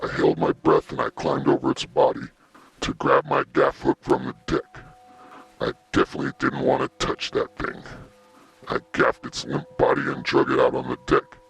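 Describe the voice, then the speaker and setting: Horror story narrator, male, low register, thick vocal fry, dramatic and suspenseful first-person read with very animated intonation. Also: normal speed, no echo. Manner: theatrical, suspenseful, with acted exclamations and gasps.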